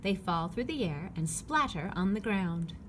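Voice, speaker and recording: A woman reading a children's picture book aloud, phrasing it clearly and simply for young listeners. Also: mid-range voice, lively intonation, normal speed. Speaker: read-aloud narrator. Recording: clearly noisy background ambience.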